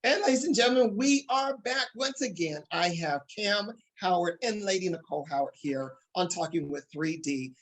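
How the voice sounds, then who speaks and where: Male, talk show host, upbeat, excited, emphatic delivery, speaks to the audience. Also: high-pitched, moderate pace, few pauses.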